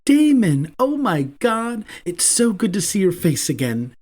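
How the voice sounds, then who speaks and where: Male narrator putting on an overjoyed young guy, pitched way up, gushing. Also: very animated, unhurried, full character voice. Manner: gushing, high and bright. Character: excited young man, overjoyed.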